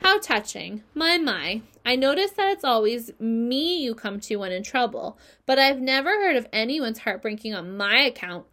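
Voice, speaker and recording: A woman's voice: high-pitched, sing-song, moderate pace, few pauses, bright, forceful tone, voice pushed up, heavily acted. A woman voicing a lazy, self-pitying male rat who grumbles and mocks. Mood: sarcastic. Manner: sarcastic, mocking, put-upon.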